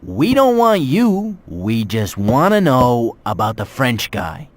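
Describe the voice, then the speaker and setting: A man's voice: mid-range voice, big pitch swings, takes it slowly. A man playing a hard-boiled, cynical detective in a video game, pressing with curt, sardonic questions. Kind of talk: video game cutscene acting.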